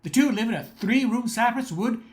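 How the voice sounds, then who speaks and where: A woman's voice: a little high, expressive, moderate pace. An older woman reading scripted narration as the announcer of a radio play.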